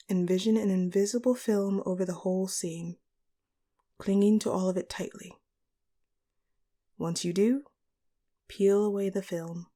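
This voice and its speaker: A woman performing a composed, courteous female supervillain boss in a scripted audio roleplay, speaking to the listener in formal, measured phrases. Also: lowish voice, dark tone. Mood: soothing, guiding.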